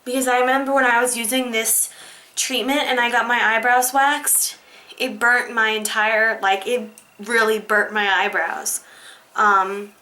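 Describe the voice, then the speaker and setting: A young woman in a casual skincare tutorial, chatty with many fillers, her voice a little hoarse from being sick. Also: on the high side, sharp tone, slight background noise, poor audio. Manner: chatty, demonstrating while talking, many ums and likes. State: slightly hoarse, recovering from illness. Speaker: beauty vlogger.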